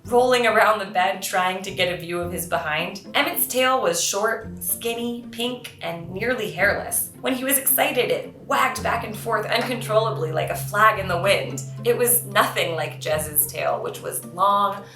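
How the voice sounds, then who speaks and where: A woman with a bright, clear-cut storytelling delivery, reading for children as the author. She is expressive and earnest. Her voice has a roomy echo, with music faintly behind it. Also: medium pitch, measured pace, few pauses. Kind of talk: expressive children's read-aloud narration.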